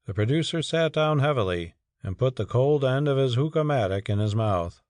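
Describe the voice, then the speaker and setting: A man's voice: mid-range voice, animated, normal speed, darkish tone, dry recording, studio quality. A man narrating a dramatic audiobook from a script.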